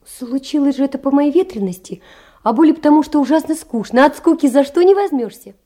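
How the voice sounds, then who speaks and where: An actress playing a poor, lonely young seamstress in an audio play, tender and emotional, often dropping to a breathy whisper. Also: female voice, high-pitched, expressive, fairly quick, warm tone. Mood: apologetic, pleading. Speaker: stage actress. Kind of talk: theatrical audio-play monologue of letters.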